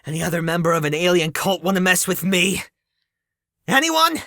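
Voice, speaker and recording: A man's voice: high voice, sing-song, moderate pace, gravelly, bright, forceful tone, no room sound, full character voice. A man acting a cocky, defiant young super-speed hero in an audio drama, mouthy and indignant.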